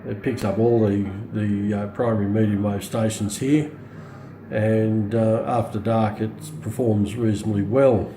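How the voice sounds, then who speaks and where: A middle-aged man with an Australian accent giving a casual, unscripted product demonstration, pausing often as he goes. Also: on the low side, steady tone. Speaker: hobbyist radio reviewer. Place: indoors on a hard countertop, small room. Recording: roomy echo, faint background noise.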